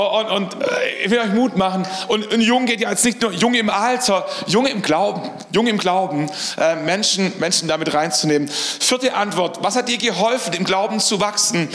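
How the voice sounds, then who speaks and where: A man's voice: high-pitched, a bit bright, reverberant. A middle-aged man preaching a sermon as pastor, earnest, often repeating words as he searches for the phrasing.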